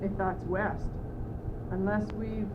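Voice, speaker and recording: A woman's voice: medium pitch, fairly even intonation, slow, lightly acted. Puzzled, placid sidekick courtier, played by a female student, mid-range voice, amateur radio drama, noisy sound. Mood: placid, reassuring. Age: teenager. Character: simple, hopeful young courtier, puzzled but placid sidekick. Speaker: student actor. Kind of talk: school radio play acting. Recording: muffled old tape with clear noise.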